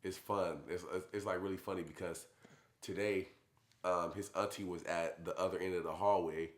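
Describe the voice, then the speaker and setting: A young man and father chatting casually in a family vlog, hoarse from being sick, talking in a laid-back, slangy way. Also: low voice, steady tone, moderate pace. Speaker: vlogger, father. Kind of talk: casual chat.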